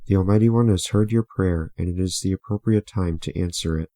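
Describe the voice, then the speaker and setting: Deep, solemn guardian spirit played by a man. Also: a little fast, warm tone, no echo, close to an everyday voice. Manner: stately, formal, detached. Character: solemn, aloof male guardian spirit, divine messenger. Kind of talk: fantasy audio drama acting.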